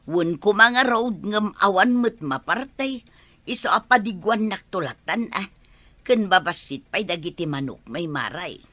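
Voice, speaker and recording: A woman's voice: medium pitch, expressive, measured pace, pushed and bright, no echo. A woman with an Ilocano accent acting a feisty, scolding village wife in a comedy drama. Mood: casual, teasing. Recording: outdoor noise with roosters and hens.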